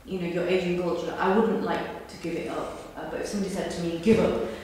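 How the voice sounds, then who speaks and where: A woman's voice: fairly low pitch, little pitch movement, quick pace, darkish tone, slight background noise. Echoey thirty-something woman, thoughtful with ums. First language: Punjabi. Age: 30s. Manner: thoughtful, with frequent ums and restarts. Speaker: interviewee. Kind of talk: answering interview questions. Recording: echoey room recorded from a distance.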